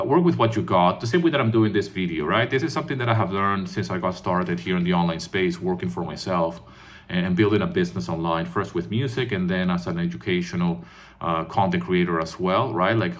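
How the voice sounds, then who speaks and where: A man in his fifties with a fairly low voice, a composer from Venezuela vlogging to his viewers. He talks earnestly and loosely, repeating words as he restarts and tagging his points with "right?". It sounds like a phone recording. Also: a little fast. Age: 50s. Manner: earnest, repetitive restarts, frequent "right?" tags. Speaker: composer and online music educator. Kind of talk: casual talking-head vlog.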